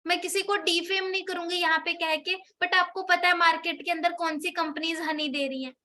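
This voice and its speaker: Young woman, high and bright; persuasive, chatty seller who keeps asking the listener questions. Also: steady tone, moderate pace. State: slightly sore throat from a cold. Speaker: product seller explaining products. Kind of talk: persuasive product talk.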